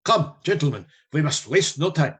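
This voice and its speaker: Male actor as learned professor, radio play, slightly high male voice, solemn, authoritative, resolute vampire hunter. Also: expressive, close to an everyday voice. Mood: commanding, solemn. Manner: grave, measured, authoritative.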